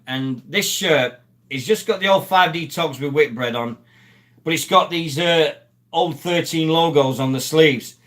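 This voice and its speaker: A man's voice: a little high, fairly bright tone. A man from Sheffield, a former rugby league player hosting a rambling, casual live stream and greeting viewers from the chat. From Sheffield, England.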